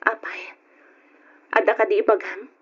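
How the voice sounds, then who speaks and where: A woman's voice: mid-range voice, flat delivery, normal speed, breathy edge. A woman playing a troubled, hesitant young woman hiding her feelings, subdued and sighing, in an old Ilocano radio drama. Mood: curt, evasive. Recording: dull lo-fi old radio recording.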